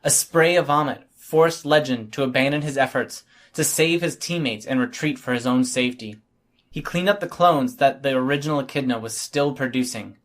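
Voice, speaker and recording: A man's voice: mid-range voice, normal speed. Man narrating an action-heavy audiobook. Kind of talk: scripted first-person fiction narration.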